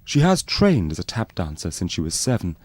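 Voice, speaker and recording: Low-voiced man narrating a spoof documentary. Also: wildly swooping pitch, fairly quick, a little mellow, dry recording.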